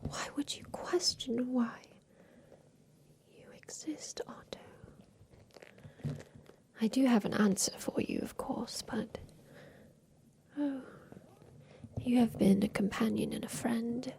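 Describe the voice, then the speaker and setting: A woman playing an aging, wistful inventor confined in a haunted attic, whispering softly and confidingly in an ASMR roleplay about revenge. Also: a little high. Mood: wistful, tender. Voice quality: soft breathy whisper. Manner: slow, wistful, confiding, halting.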